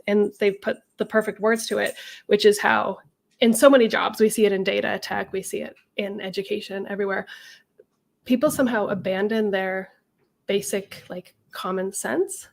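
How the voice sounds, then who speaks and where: A woman co-hosting a casual chat, nasal from a cold, chatty and quick to laugh. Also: mid-range voice, gravelly. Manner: chatty, laughs easily, many ums. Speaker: co-host.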